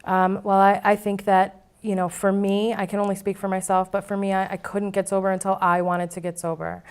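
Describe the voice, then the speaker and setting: Woman, interview guest, clear smooth voice, flat intonation, candid, conversational personal account. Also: medium pitch, brisk. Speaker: interview guest, former client now board member.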